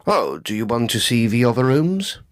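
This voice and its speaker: A man's voice: mid-range voice, big pitch swings, normal speed, lightly acted. A man playing an evasive, nervous Edwardian house owner in an audio drama, guarded and formal. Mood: evasive, uneasy.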